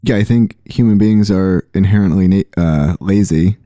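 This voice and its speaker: A man with a very deep voice hosts a podcast, speaking candidly and with a dry sense of humor. His tone is dark and mellow, and the sound is dry and close. He leans on "uh" fillers as he speaks. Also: moderate pace, no room sound. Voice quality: low voice. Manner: candid, joking, frequent "uh" fillers. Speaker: podcast host, sober living owner. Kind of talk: casual chat. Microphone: poor microphone, hard to hear.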